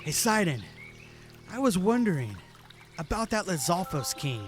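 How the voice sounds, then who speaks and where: A man's voice: sits high, big pitch swings, a little slow, voice pushed up. A man voicing a curious young male hero who asks questions hesitantly, in a fan audio drama. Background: music.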